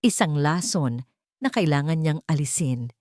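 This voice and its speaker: A woman's voice: sits low, lively intonation, unhurried, darkish tone, dry recording, clean studio sound. A woman narrator reading a scripted dramatic love story.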